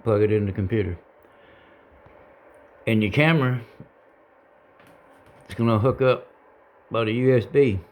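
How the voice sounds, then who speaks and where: A man giving a casual, unscripted how-to demonstration in a halting, folksy, plain-spoken way, as a hobbyist. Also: lowish voice. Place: indoor home radio room.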